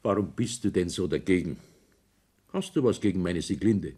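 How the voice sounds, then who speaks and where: Self-assured schemer, male, rough husky tone, swinging intonation, insistent, old German radio play. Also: deep voice, lively intonation, normal speed, dark tone. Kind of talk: 1960s German radio drama acting.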